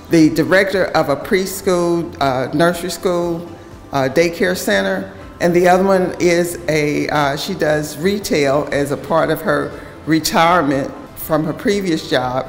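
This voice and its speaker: An older woman, a housing executive, speaking in a measured, hesitant way about residents, on a lapel mic. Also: deep voice. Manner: measured, hesitant, many ums. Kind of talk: documentary interview. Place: empty house, indoors. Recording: echoey room with long reverb.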